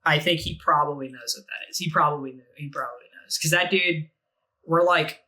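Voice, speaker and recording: A man's voice: a little high, brisk, bright, forceful tone, rough recording. A man in his twenties chatting casually on a podcast, rambling, laughing often and swearing freely. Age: 20s. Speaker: podcast guest.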